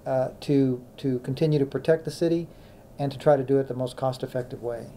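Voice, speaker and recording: A man answering an interview as a city official in a measured, hesitant manner. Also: medium pitch, fairly even intonation, mellow. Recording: muffled interview with background noise.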